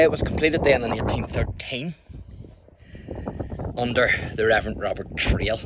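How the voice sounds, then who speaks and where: A man with a Northern Irish accent giving a chatty, informal walking tour as a local history guide, speaking outdoors into a handheld phone. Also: medium pitch. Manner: chatty, addresses the viewer with tag questions. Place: outdoors in a churchyard by the sea. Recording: outdoor phone recording with background noise.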